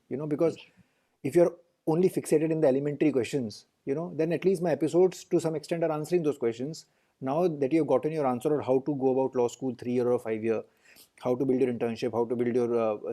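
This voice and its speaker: A man's voice: mid-range voice, dark tone. A man with an Indian English accent, a lawyer and guest in an interview, speaking earnestly and listing points with many fillers; his first language is Hindi. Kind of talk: interview conversation.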